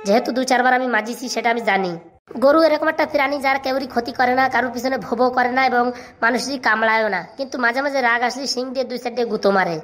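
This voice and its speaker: A woman's voice: fairly high pitch, rapid-fire, a bit bright, soft background music, close to an everyday voice. A woman voicing a cheeky, bossy, boastful village schoolboy in a comic cartoon, rattling on self-assuredly. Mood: eager reciting.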